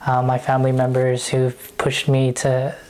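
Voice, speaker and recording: A man's voice: mid-range voice, completely flat delivery, measured pace, darkish tone, smooth. A young man from San Jose, California, speaking in a laid-back, hesitant way with many fillers. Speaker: first-time voter interviewee.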